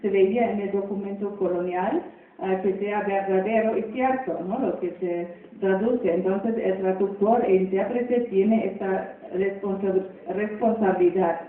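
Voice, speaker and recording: A woman giving a conference talk about her university faculty, hesitant, pausing often with filler sounds and tag questions. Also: medium pitch, fairly even intonation. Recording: echoey hall, distant, phone-like.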